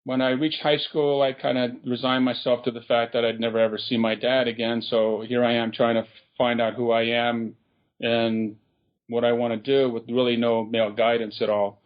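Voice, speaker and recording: A man from Brazil, a podcast guest telling his life story casually, pausing often with ums and uhs. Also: mid-range voice, little pitch movement, muffled recording. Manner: halting, with frequent ums and uhs.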